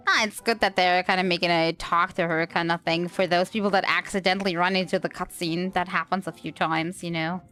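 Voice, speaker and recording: A woman's voice: on the low side, fairly even intonation, brisk, hardly any pauses, bright, forceful tone. A woman livestreaming a game, reacting casually with wry, playful commentary. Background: faint game music.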